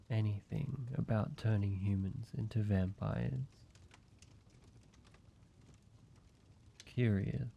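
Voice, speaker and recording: A man voicing a weary, guarded ancient vampire who is grieving yet tender, speaking haltingly in an intimate roleplay audio drama with a gravelly voice. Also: dark tone, a little hiss or hum. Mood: teasing, wary. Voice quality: low, gravelly. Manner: slow, halting, hesitant delivery with pauses.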